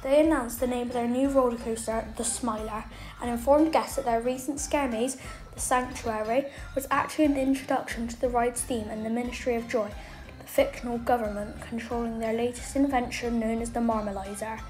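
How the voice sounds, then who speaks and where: A woman narrates a scripted documentary. Her voice sits fairly high, and soft music plays behind her as she reads. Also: steady tone, brisk, low-quality recording.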